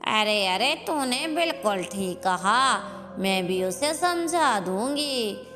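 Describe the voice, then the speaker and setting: Female performer as a scolding cartoon mother-in-law bird, older, bossy, measured pace, reverberant with music underneath. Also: on the high side, lively intonation, a bit bright. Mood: approving, stern. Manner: nagging, admonishing. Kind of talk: Hindi cartoon moral-story voice acting. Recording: long reverb with music behind.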